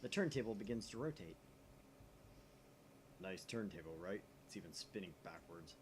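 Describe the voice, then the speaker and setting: Young male gaming host, very lively pitch, joking chat and narration, faint noise behind. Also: normal speed. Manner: joking, animated asides. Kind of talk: casual chat and visual novel narration.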